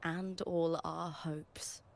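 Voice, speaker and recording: Earnest gladiator, slightly low and gravelly. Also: female voice, little pitch movement, very slow, slight background noise, exaggerated voice. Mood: proud, wistful. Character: proud, earnest young woman gladiator. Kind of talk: voiced game dialogue.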